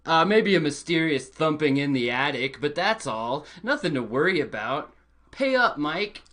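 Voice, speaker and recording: A man's voice: fairly high pitch, sing-song, normal speed, a bit bright, pitched below the performer's own voice. A man voicing a cocky, dismissive board-game player in an amateur live script read. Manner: smug, dismissive.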